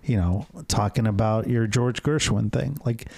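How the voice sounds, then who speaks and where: A man's voice: fairly low pitch, moderate pace, vocal fry, warm tone, dry recording. Podcast host, male, 30s, playful and teasing, dry close-miked studio sound. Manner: teasing, laughs easily. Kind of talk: casual chat. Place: small studio room, indoors. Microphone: studio mic with headphones.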